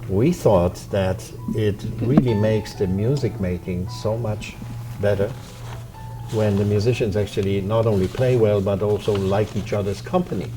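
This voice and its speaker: A middle-aged Polish-accented man speaks in a fairly low voice, measured and reflective, pausing often to find his words. Soft instrumental music plays clearly behind him. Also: poor audio. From Poland. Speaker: violin professor and orchestra co-founder. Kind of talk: interview answers.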